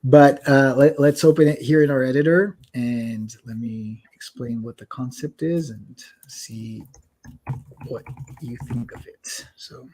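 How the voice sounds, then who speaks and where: Chatty man demoing software. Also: medium pitch, unhurried, a little hiss or hum. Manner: chatty, self-deprecating, many restarts. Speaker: host demoing translation software. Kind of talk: casual live demo talk.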